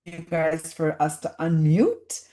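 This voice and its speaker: A woman's voice: sits low, expressive, takes it slowly, mellow. Chatty, welcoming woman hosting a webinar. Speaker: webinar host. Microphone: video call.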